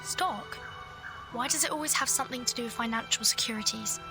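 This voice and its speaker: A woman's voice: a little high, a little fast, lightly acted. An actress playing a polite, earnest young woman arcanist in a video game, with a courteous, dutiful manner. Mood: earnest, inquiring. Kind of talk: scripted game voice acting. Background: loud background music.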